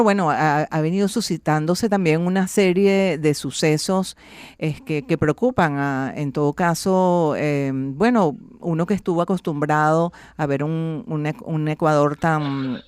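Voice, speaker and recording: A woman's voice: low voice, a little mellow, clean tone, no echo. A woman hosting a radio interview, searching for words and restarting often as she asks her questions.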